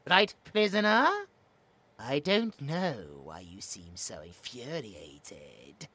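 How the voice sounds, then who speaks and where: A man's voice: medium pitch, sing-song, takes it slowly, sharp tone, breathy edge, no echo, exaggerated voice. A man with a British accent playing a smug, cruel mad scientist in an audio roleplay, taunting, theatrical and clinically precise. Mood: smug, needling.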